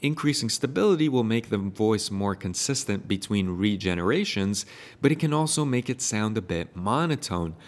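A man in his thirties hosting a software tutorial, upbeat and eager as he explains each step. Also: on the low side, animated, moderate pace. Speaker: tutorial host and AI consultant. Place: indoor room in front of a painted brick wall.

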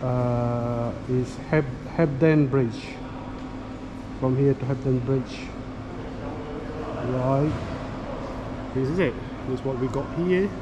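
A middle-aged man talks casually to his handheld camera as a travel vlogger. His voice sits at a medium pitch, and he speaks haltingly, thinking aloud. Loud transport noise and hum fill the recording. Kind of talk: casual vlog commentary. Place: railway station hall and inside a train carriage. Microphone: action camera at arm's length. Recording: loud ambient station and train noise.

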